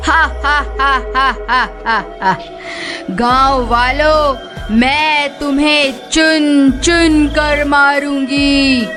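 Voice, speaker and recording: A woman as a shrill, menacing cackling witch. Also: steady tone. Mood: gloating, vengeful. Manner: threatening, cackling, drawn-out words. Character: vengeful bald female witch, menacing and cackling. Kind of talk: Hindi horror cartoon voice acting. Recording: music behind the voice.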